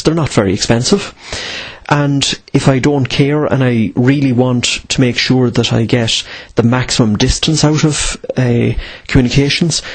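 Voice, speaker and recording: Husky-voiced man giving an informal explainer. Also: medium pitch. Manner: asks and answers own questions, emphatic repetition. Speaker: presenter of instructional radio videos.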